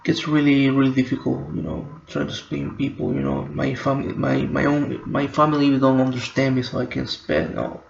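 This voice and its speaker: Halting man, flat and gravelly. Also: mid-range voice, slight background noise. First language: Spanish. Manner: halting, many fillers and restarts. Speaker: vlogger. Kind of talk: personal reflective monologue. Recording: roomy, muffled home recording.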